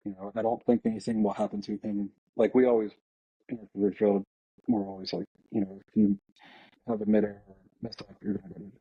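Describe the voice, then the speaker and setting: Low-voiced American man, slightly dull sound. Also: big pitch swings, fast talker, gravelly, husky, no echo. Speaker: air traffic controller, podcast guest. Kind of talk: casual podcast conversation.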